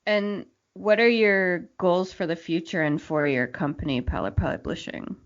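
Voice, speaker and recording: A woman's voice: fairly low pitch, fairly even intonation, a little slow. A woman hosting a casual interview podcast. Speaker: podcast host. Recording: dull, lo-fi sound.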